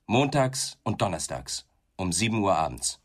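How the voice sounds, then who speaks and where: Low-voiced, relentless police inspector. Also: male voice, sing-song, moderate pace. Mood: dryly insistent. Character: male police inspector, probing, controlled, relentless. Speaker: interrogator. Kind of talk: German radio crime drama acting.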